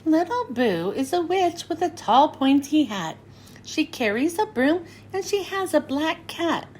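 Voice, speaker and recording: A high-pitched woman narrates a children's picture book. Her delivery is slow and sing-song, swinging widely up and down as she follows the rhymes. There is some noise behind her. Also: very animated, a little mellow. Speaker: children's read-aloud host. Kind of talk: scripted storybook narration for children.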